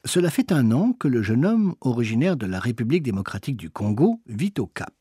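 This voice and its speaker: A man's voice: medium pitch, sing-song, a little fast, dark tone, breathy edge, dry recording, clean studio sound. A man narrating a reportage from a prepared script. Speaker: documentary narrator.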